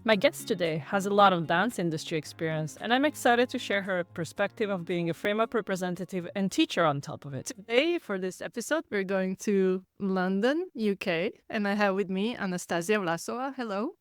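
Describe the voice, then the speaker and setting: A woman from Denmark hosting an interview podcast, asking friendly, measured questions. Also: medium pitch, expressive, no room sound, faint music behind. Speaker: podcast host.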